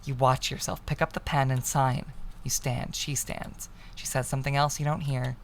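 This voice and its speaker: A woman reading a visual novel aloud as dramatic narration, with hushed, breathy phrase endings. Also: rumbling low, flat delivery, moderate pace, slight background noise. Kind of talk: dramatic second-person story narration.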